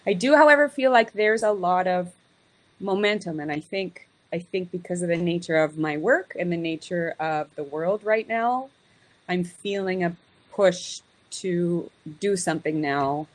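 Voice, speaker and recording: Woman guest, lowish voice, hesitant, thinking aloud on a video call. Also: a little slow. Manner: hesitant, thinks aloud with many ums and restarts. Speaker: guest being coached.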